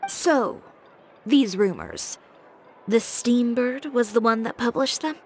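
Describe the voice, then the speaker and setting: A woman's voice: fairly high pitch, expressive, slow, a little hiss or hum. A woman voicing a proud, composed female fashion designer with a cool, dry, self-assured delivery in a video game.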